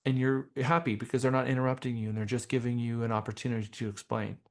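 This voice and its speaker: A man teaching a professional class as a trainer, speaking in a deliberate, emphatic way with rhetorical questions. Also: medium pitch, flat delivery. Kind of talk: motivational training seminar talk.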